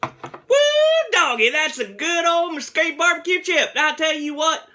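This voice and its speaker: A man's voice: very high-pitched, unhurried, bright, forceful tone, pitched above the performer's own voice, heavily acted. A man putting on an exaggerated, folksy Southern good ol' boy voice. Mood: gleeful.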